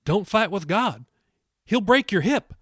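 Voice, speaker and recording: A man's voice: sits high, animated, a little slow, slightly rough, no room sound, clean studio sound, pitched above the performer's own voice, exaggerated voice. A man voicing a kindly old farm woman with a folksy, teasing manner in an audiobook story. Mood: folksy, encouraging.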